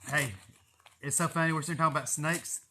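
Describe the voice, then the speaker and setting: Higher-pitched Southern man spinning casual yarns. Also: steady tone, fast talker, bright, forceful tone, slight background noise. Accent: Southern US, East Tennessee. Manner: folksy, profane, chuckling storyteller. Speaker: snake catcher hosting a live video. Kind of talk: casual rambling storytelling on a livestream. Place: greenhouse garden.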